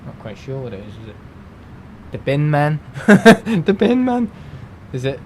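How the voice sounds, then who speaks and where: A rambling man with a Merseyside accent chatting casually to his live viewers at an everyday mid pitch, full of fillers and restarts. A faint low rumble hums underneath his voice. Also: very animated, a little slow. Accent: Merseyside English. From England. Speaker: livestream host.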